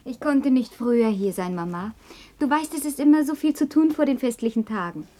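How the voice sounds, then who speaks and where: An actress playing an affectionate young working daughter in a radio play, eager, tender and earnest. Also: female voice, a little high, lively intonation, brisk, warm tone, close to an everyday voice. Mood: cheerful. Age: young adult. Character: affectionate young working daughter, warm-hearted big sister. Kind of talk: 1960s German radio play acting.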